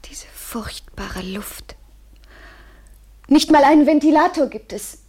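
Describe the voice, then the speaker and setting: An actress playing an anxious, vulnerable young woman in a 1970s German radio drama, speaking haltingly and often falling to a whisper. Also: sits high, lively intonation, darkish tone. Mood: anxious, pleading.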